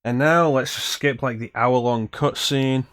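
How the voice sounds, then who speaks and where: A man's voice: mid-range voice, fairly even intonation, a little slow, fairly bright tone, a little hiss or hum. A man giving casual, wry gameplay commentary as a streamer, with game audio behind him. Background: video game audio.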